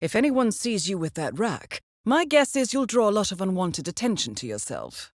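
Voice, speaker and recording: A woman playing a composed, dry-witted intelligence handler in a video game, speaking in a measured, formal, understated way as she briefs an assassin. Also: mid-range voice, very animated, no room sound. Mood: businesslike, urgent. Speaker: mission handler. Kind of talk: scripted video game voice acting, mission briefing.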